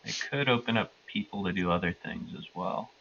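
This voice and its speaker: A man's voice: fairly low pitch, a little fast, bright, forceful tone, slightly rough, lo-fi sound. A man playing a tabletop game, chatting casually and thinking aloud in a hesitant way. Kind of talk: casual chat.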